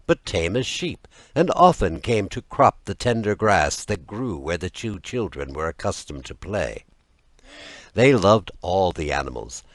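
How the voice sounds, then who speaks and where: A man with a low-pitched voice narrates a fairy tale in a close, dry recording. He reads expressively and deliberately, with a lively rise and fall in his intonation. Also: dry recording. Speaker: audiobook narrator.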